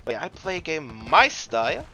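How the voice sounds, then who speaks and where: A man's voice: fairly high pitch, very animated, moderate pace, creaky, pushed and bright, muffled recording. A man who is not a native English speaker, giving casual, rambling let's play commentary, often interrupting himself. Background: video game music and sounds.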